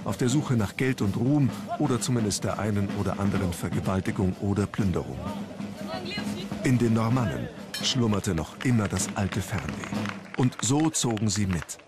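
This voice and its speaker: A man's voice: on the low side, expressive, normal speed. A man reading scripted documentary narration as a narrator. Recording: loud music under narration. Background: loud background music.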